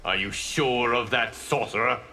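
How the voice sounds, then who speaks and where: Forceful, solemn guardian god. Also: male voice, mid-range voice, slight background noise. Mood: grave, reproachful. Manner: formal, measured, grave. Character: solemn male guardian deity, grave and reproachful. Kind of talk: dramatic video game acting.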